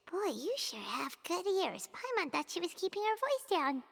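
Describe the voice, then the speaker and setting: A woman's voice: big pitch swings, measured pace, creaky, a bit bright, exaggerated voice. A woman voicing a tiny, chatty female floating companion in a video game who talks about herself in the third person and says whatever comes to mind. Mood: flustered. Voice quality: high and squeaky. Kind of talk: video game cutscene dialogue. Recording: reverby game audio, faint music.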